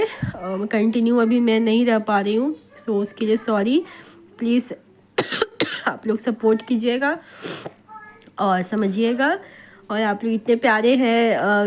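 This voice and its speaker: Hoarse woman narrating her vlog. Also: fairly high pitch, darkish tone. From India. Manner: chatty, rambling, mixes English words into Hindi. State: hoarse with a cold. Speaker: vlogger. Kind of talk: casual voice-over narration.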